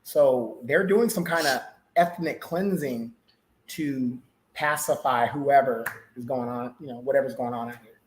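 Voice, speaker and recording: An American man co-hosting a casual chat, relaxed, with joking asides. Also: medium pitch, measured pace, a little hiss or hum, low-quality recording. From United States. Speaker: co-host.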